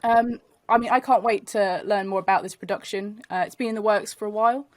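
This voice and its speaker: A woman's voice: mid-range voice, fairly even intonation, fairly quick, no echo. A woman in her twenties giving a casual introduction as presenter. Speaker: event presenter. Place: dark padded room.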